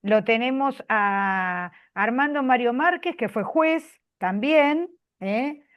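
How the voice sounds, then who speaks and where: A woman's voice: a little high, lively intonation, slow, clean tone, lo-fi sound. An Argentine woman hosting a video call, talking chattily and dropping frequent "eh" fillers, speaking from a public office. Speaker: host. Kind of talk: video call closing remarks.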